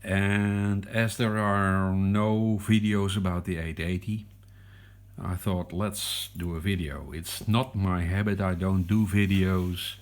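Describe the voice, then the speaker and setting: A Dutch man, a non-native English speaker, demonstrating a meter at his desk in a hesitant, halting way. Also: sits low, little pitch movement. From Netherlands. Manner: hesitant, halting, with many ums and restarts. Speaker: hobbyist reviewer. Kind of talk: casual product demonstration. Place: desk at home.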